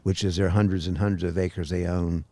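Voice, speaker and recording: Gravelly low-voiced man in his sixties. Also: level tone, a little fast, mellow, dry recording. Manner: chatty, prompts his partner. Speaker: podcast co-host. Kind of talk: rambling casual chat. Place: outdoors on a lakeside tower.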